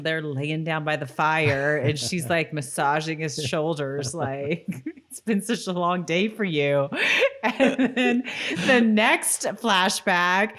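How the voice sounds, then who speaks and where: A woman's voice: lowish voice, a bit bright. A woman co-hosting a podcast in an informal, chatty style, reacting readily to her partner. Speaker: podcast co-host. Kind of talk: casual rewatch chat with some read-out recap.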